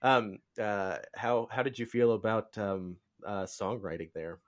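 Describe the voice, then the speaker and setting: A man hosting a casual podcast interview, talking in a halting, rambling way as he feels for words. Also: fairly low pitch. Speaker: podcast host.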